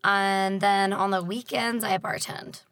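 A young woman in her twenties telling stories in a relaxed casual chat, laughing often. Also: medium pitch, slow pace, clean tone, no room sound, clean studio sound. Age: 20s. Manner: easygoing storyteller, laughs often. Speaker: guest.

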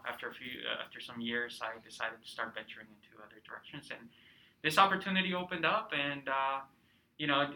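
Bright-voiced Peruvian-born man in his thirties. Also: medium pitch, low-quality recording. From Peru. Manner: warm storytelling with many fillers. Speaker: guest, cultural center director. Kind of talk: casual interview chat.

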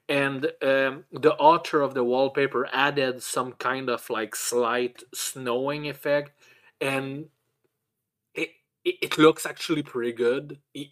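A man hosting a casual chat in a halting, stammering way, with blunt swearing. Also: medium pitch, a bit bright. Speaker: podcast host.

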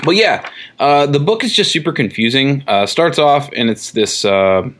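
A young man co-hosting a comic book podcast, rambling in casual chat with many fillers and quick laughs. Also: mid-range voice, lively intonation, fairly bright tone, a little hiss or hum. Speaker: podcast co-host.

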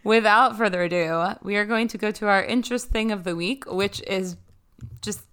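A young woman hosting a podcast, chatting and teasing in a wry, brisk way and reading quiz questions aloud. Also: mid-range voice, fairly bright tone, clean tone. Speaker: podcast host.

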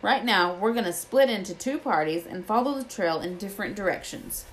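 Lady doing a gruff kindly giant groundskeeper guy from a storybook, folksy talk, protective, bit of noise behind. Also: medium pitch, expressive, fairly quick, lightly acted, low-quality recording. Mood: grave, instructive. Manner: rustic colloquial speech with dropped words. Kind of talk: storybook character voice.

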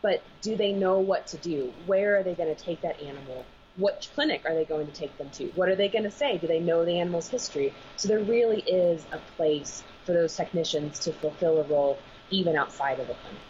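A woman's voice: lowish voice, brisk, darkish tone. Woman vet on a muffled video call. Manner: earnest, thinks aloud with restarts. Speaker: veterinarian and animal massage school director. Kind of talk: remote interview guest. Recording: muffled video call audio with faint noise.

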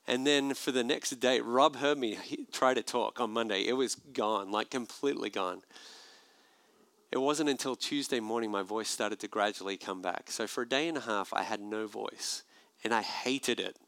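A man preaching a sermon as a pastor, conversational and animated, with asides to the congregation. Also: mid-range voice, normal speed, dry recording.

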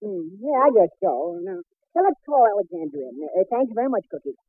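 A man's voice: high voice, very animated, brisk, breathy edge, dry recording, full character voice. A man playing a bumbling, good-natured husband and father, hesitant and stammering, in old radio comedy style. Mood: eager, doubtful. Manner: hesitant, stammering, easily flustered. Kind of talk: 1940s radio comedy acting. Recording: tinny, narrow-band old radio recording.

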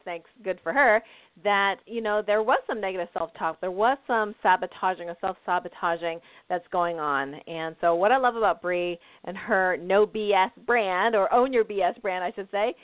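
A woman's voice: medium pitch, no echo. Bubbly woman radio host on a phone line. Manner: bubbly, enthusiastic, laughs often. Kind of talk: casual live call-in show hosting. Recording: tinny phone-line audio.

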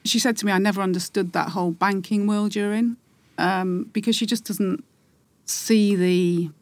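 A woman's voice: mid-range voice, fairly even intonation, normal speed, dry recording. A middle-aged woman from England with a faded Geordie accent, talking reflectively as a podcast guest and rambling with many restarts. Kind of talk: casual interview chat.

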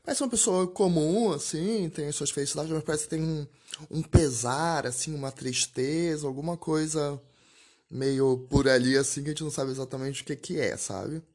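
A man's voice: a little high, normal speed, darkish tone. Chatty young man reviewing a film. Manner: chatty, full of "né?" and restarts, laughs at himself. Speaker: podcast host. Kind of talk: casual solo podcast film review.

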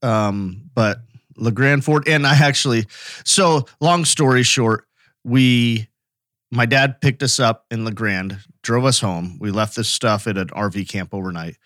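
An American man speaks as a podcast co-host, with a medium pitch. He tells stories in a casual, conversational way, and the sound is dry with hardly any echo. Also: a little slow, fairly bright tone. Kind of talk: casual anecdotal chat.

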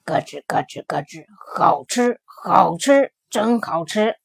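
A woman voicing a big, gruff male tyrannosaurus in a children's storybook, slow and low with a husky growl. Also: lively intonation, no room sound, studio quality, full character voice.

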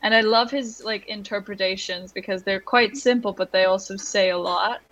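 A young woman, a musician guest in an interview, speaking softly and hesitantly with many ums and likes. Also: medium pitch, fairly even intonation, normal speed, lo-fi sound. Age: young adult. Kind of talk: conversational interview.

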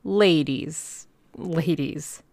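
An evasive male showman from a storybook played by a woman, smooth and falsely serene. The voice is pitched up and placating, with oily politeness and a very close, dry sound. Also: lively intonation, takes it slowly, dry recording. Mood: nervous, stalling.

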